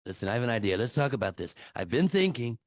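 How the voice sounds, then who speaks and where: A man's voice: medium pitch, very animated, fast talker, no echo, muffled recording, natural voice. A man playing a pompous, defensive older politician in a comedic table read, blustering and self-justifying.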